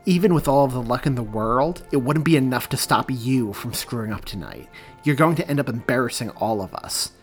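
A man's voice: mid-range voice, animated, a little fast, soft background music, lightly acted. A man voicing a sneering boy bully who spits out taunts.

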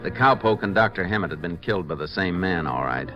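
An American actor playing a shrewd, dry-humored Texas Ranger investigator in a measured, matter-of-fact way for a 1950s radio western. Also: male voice, sits low, lively intonation, loud music behind the voice. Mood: resolute. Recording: muffled, noisy old 1950s radio recording.

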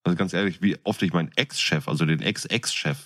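A man's voice: deep voice, fast, fairly bright tone. A man co-hosting a podcast, chatting casually with slangy, joking talk. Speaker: podcast co-host. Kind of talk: casual comedic chat.

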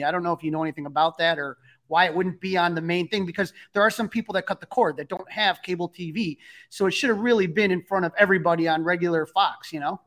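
Casting: male sports podcast host, high voice, chatty and conversational. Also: a bit bright. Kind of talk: casual interview talk.

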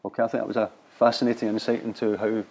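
A man's voice: on the low side, little pitch movement, very fast, a little mellow. A man hosting a live event, moderating the question round in a brief, upbeat way. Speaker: event host.

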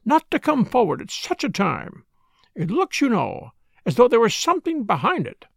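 A man's voice: high-pitched, wildly swooping pitch, normal speed, vocal fry, no room sound, studio quality, voice pushed up, full character voice. A man voicing a fretful, self-pitying older mother in a storybook, plaintive, fussy and rambling.

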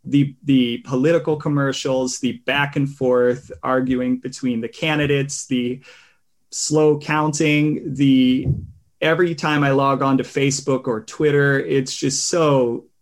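A man hosting a podcast in relaxed, rambling casual chat, with a tenor voice and many fillers. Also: medium pitch, a little slow. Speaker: podcast host.

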